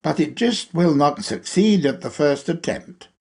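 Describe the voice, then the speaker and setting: Male reader, higher-pitched voice, very slow pace, measured and emphatic, spiritual lecture reading. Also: lively intonation, a little mellow. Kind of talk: read-aloud spiritual lecture.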